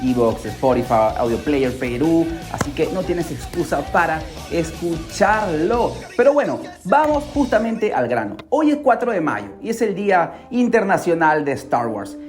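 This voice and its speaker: Animated podcast storyteller over faint music. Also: medium pitch. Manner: dramatic, excitable delivery with rhetorical questions and exclamations. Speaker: podcast host. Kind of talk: scripted storytelling narration for a pop culture podcast.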